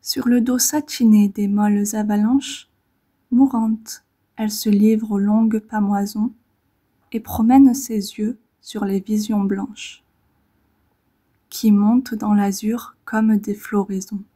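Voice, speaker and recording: A woman reading poetry in a gentle, measured, relaxing manner, with a soft breathy voice that often fades toward a whisper and short phrases set apart by pauses. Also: mid-range voice, little pitch movement. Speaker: poetry reader.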